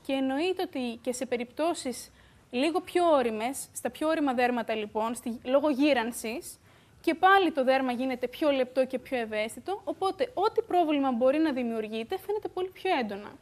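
A young woman, a beauty expert, explaining calmly in a TV studio on a lapel mic, with the odd "uh" filler. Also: sits high. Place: bright TV studio.

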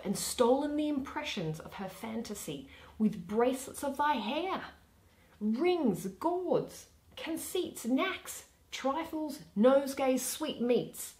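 A woman's voice: fairly high pitch, dark tone, pitched above the performer's own voice, poor audio. A woman voicing an angry, aggrieved old father in Shakespearean verse, declaiming emphatically.

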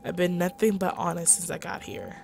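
Woman, slightly low voice, strong vocal fry, dramatic dialogue reading, gaming playthrough. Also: sing-song, brisk, a little mellow, faint music behind. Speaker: gaming video co-host. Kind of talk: acting out visual novel dialogue, casual game chat.

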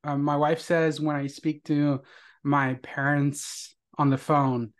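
A man's voice: fairly high pitch, level tone, slow. A man in his thirties with a blended Indian and Irish accent, hosting a casual interview, close on the mic. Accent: mixed Indian and Irish. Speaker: podcast host. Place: indoors, small room with wall art, on a video call. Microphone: large microphone on boom arm, close.

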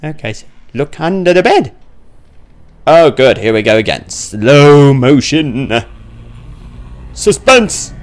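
A man's voice: on the high side, big pitch swings, slow pace, long pauses. A man giving casual let's play commentary, thinking aloud in a grumbling, playfully exclaiming way. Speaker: gamer playing a horror game on his channel.

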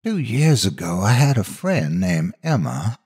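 A frightened young girl from the country , acted out by a man, speaks in a husky voice. She is earnest and tense, telling of something that haunts her in a dramatic horror tale. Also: lowish voice, sing-song, unhurried, a little mellow, heavily acted. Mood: haunted. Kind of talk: dramatic horror story dialogue.

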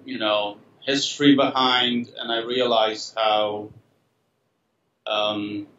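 A middle-aged man, answering interview questions slowly over a video call, with many fillers and restarts. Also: medium pitch, fairly bright tone, a little hiss or hum. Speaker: interviewed artist. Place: studio room, indoors. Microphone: laptop webcam on a video call. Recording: roomy, distant, dull video call audio.

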